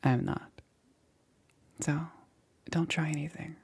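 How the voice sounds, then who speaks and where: A woman playing a soft-spoken, coaxing, quietly menacing witch in an ASMR roleplay, often dropping into a breathy, hesitant whisper. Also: deep voice, steady tone, full character voice. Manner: slow, hesitant, softly cooing.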